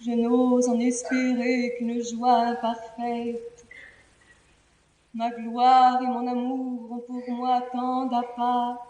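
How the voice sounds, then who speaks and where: An actress playing a proud, lovesick young princess, declaiming classical French verse tragedy in a solemn, impassioned and theatrical manner. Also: female voice, a little high, warm tone. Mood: sorrowful, torn. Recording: roomy, distant theatrical studio recording.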